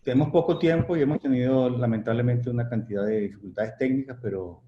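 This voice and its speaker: A man's voice: mid-range voice, quick pace. A man moderating an online panel, reading out audience questions with hesitant restarts, over a video call. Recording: dull echoey call audio with faint noise.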